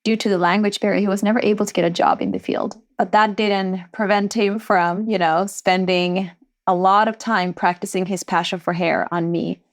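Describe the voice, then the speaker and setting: A woman in her thirties from Sweden, a founder telling stories from her life in a reflective, warm way in a podcast interview. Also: mid-range voice, fairly even intonation, normal speed. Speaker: entrepreneur guest.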